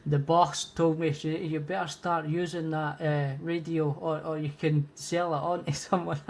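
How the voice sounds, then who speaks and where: A man with a Scottish accent chatting casually as an amateur radio operator, friendly and repeating phrases for emphasis. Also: a little high. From Scotland. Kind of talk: casual radio contact chat.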